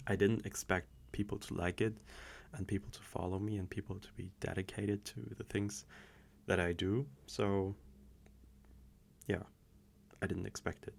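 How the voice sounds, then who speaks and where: A man's voice: low-pitched, flat delivery, moderate pace, vocal fry, pauses often. A man chatting casually through viewer questions, rambling and hesitant with many restarts. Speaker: audio creator answering viewer questions. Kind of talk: casual Q&A monologue.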